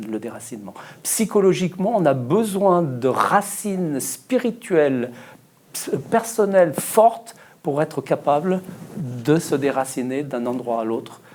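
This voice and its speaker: A man from Portugal taking part in a Bible study discussion, speaking thoughtfully with many pauses and fillers. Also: on the high side, darkish tone. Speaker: discussion participant.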